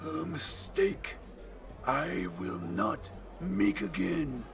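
An actor playing a furious, brutish shapeshifter gang boss in an audio drama, snarling and shouting with bluster. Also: male voice, fairly high pitch, very animated, very slow, gravelly, music in the background, heavily acted. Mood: menacing.

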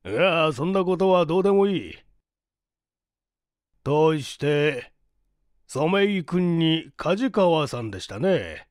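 A man voicing a stout, genial, wealthy old gentleman in a story reading, with courteous, deliberate, old-fashioned speech. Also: on the high side, sing-song, no room sound, studio quality.

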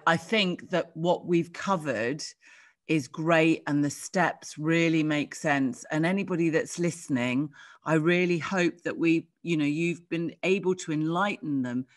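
A woman's voice: low voice, a little slow. A middle-aged British woman hosting a casual interview over a video call from her living room, with an effusive, exclaiming manner. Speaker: interview host.

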